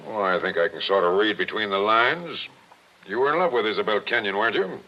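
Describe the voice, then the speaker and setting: An actor playing a shrewd, blunt police captain, in a 1940s radio drama, asking wry, pressing questions. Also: male voice, fairly low pitch, fairly quick, close to an everyday voice. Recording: muffled old radio broadcast.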